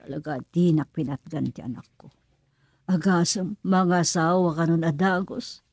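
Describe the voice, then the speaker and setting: A woman's voice: lowish voice, steady tone, moderate pace, darkish tone, slightly rough, no room sound. A woman with a Filipino accent acting in a radio drama as an older, cautious, probing mother. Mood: musing.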